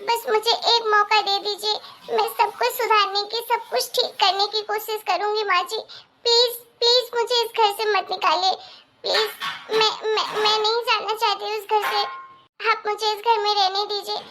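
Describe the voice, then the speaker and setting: A woman's voice: very high voice, flat delivery, normal speed, sharp tone, heavily acted. A woman voicing a young wife and stepmother in a cartoon melodrama, pleading and stammering tearfully. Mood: desperate pleading. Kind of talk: Hindi moral-story cartoon melodrama.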